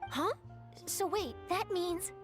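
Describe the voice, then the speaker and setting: Keen young reporter girl for a game, high voice, polite, music behind. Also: slow. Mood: curious. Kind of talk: video game voice acting.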